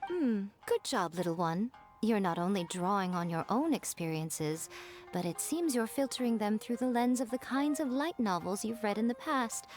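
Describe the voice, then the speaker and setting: A woman's voice: medium pitch, animated, normal speed, a little mellow, lightly acted. A woman voicing a sly, elegant fox-spirit lady in a video game, self-assured and teasing, with a poised, condescending way of talking. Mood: amused, approving. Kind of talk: video game character voice acting. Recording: background music.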